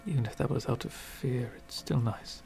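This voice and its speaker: A man playing a lonely, courteous, centuries-old vampire in an ASMR roleplay, speaking formally and wistfully in soft, breathy whispers. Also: medium pitch, fairly even intonation, soft background music, lightly acted. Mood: gracious, wistful. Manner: formal, wistful, slow and deliberate.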